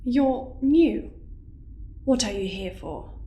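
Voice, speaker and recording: Brisk facility worker woman, roomy. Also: a little high, expressive, warm tone, muffled recording. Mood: officious, wary. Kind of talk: audio drama acting. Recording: roomy echoing sound.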